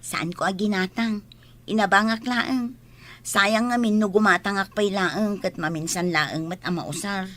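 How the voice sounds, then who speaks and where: An actress with an Ilocano Filipino accent playing a modest, thoughtful daughter in a radio drama. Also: female voice, mid-range voice, unhurried, fairly bright tone, natural voice. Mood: casual. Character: modest, thoughtful daughter readying for a school reunion.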